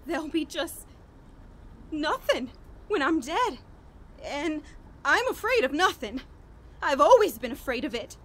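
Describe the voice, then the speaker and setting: An actress playing a frantic, haunted woman on the edge of madness in a horror radio play, halting and overwrought. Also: high voice, sing-song, fairly bright tone, full character voice. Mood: desperate, afraid. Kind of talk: 1940s radio horror acting, dramatic monologue.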